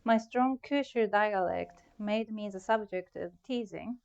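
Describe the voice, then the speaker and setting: A woman's voice: mid-range voice, measured pace, gravelly, darkish tone, clear, resonant tone, muffled recording. A middle-aged woman from Japan with a Japanese accent, giving a prepared, reflective talk about her own art. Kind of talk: prepared artist talk presentation.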